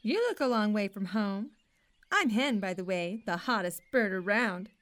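An actress playing a sassy, self-assured farm hen in an audio drama, with a teasing drawl. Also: female voice, medium pitch, lively intonation, normal speed, fairly bright tone, natural voice.

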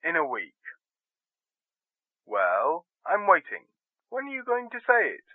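Radio drama male sweetheart, actor: brash, teasing, very animated delivery, dry studio sound. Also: a little high, a little slow, no room sound, narrow, tinny audio. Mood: teasing affection. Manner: bantering, teasing. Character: wry, brash, affectionate male theatre man and sweetheart. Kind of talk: dramatic radio play acting.

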